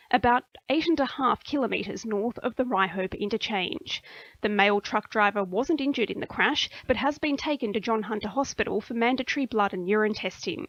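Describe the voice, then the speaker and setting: A woman's voice: on the high side, highs cut off. A woman with an Australian accent reading scripted news as a radio newsreader.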